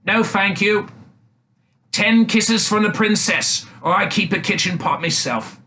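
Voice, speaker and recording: A man's voice: high voice, a little slow, pushed and bright, a little hiss or hum, pitched above the performer's own voice, exaggerated voice. A man voicing a cheeky, stubborn young prince disguised as a swineherd, bold and insistent, in a fairy tale.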